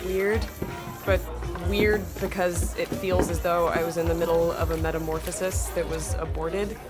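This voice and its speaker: An actress playing a weary, dutiful adult daughter in a stage drama, earnest and wistful. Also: female voice, lowish voice, little pitch movement, normal speed, over loud music, close to an everyday voice. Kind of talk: stage play acting.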